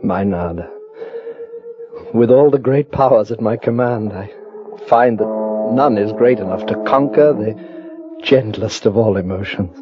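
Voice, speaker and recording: An actor playing a powerful, lovestruck alien man in old radio drama style, speaking in a formal, measured, declamatory way. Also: on the low side, natural voice. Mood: tender. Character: grave, ardent alien man with great powers, courting a human woman. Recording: muffled vintage radio recording, music behind.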